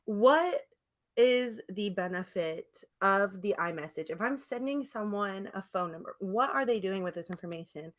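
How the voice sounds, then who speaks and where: A woman co-hosting a casual comedic podcast, telling stories in an animated, slangy way, acting out her replies and laughing often. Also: mid-range voice, moderate pace. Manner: animated storytelling, acts out her own text replies. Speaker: podcast co-host.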